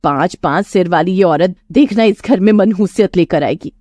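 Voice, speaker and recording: A woman's voice: on the low side, normal speed, mellow, no room sound, studio quality, close to an everyday voice. A woman voicing a cruel, scornful middle-aged mother-in-law, scolding and threatening in a melodramatic storybook style. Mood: outraged, scornful.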